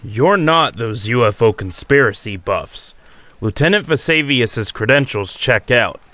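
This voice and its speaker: An actor in an audio drama playing a sceptical, hard-nosed US army colonel with a terse, interrogating manner. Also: male voice, medium pitch, animated, unhurried, pushed and bright. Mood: probing.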